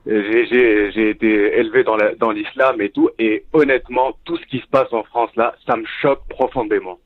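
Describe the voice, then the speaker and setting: A man's voice: on the low side, steady tone. A man from Tunisia, a native Arabic speaker, calling in to a live talk stream and talking earnestly with frequent restarts. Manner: earnest, halting with many restarts. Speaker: caller. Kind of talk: call-in talk. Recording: dull lo-fi phone call audio.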